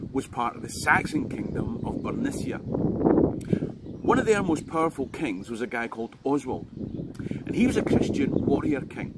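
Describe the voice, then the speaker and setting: A Scottish man and history tour guide, giving an informal, jokey history talk to the viewer. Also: medium pitch. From Scotland. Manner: jokey and conversational, with asides to the viewer. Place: outdoors on a tidal island. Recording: loud background noise.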